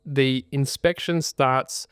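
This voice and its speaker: An Australian man hosting a casual podcast about buying property, talking energetically with a naturally loud voice that he keeps in check. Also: mid-range voice, slow pace, clean tone, no echo, studio quality. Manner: loud, energetic talker reining in his volume. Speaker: podcast host and buyer's agent.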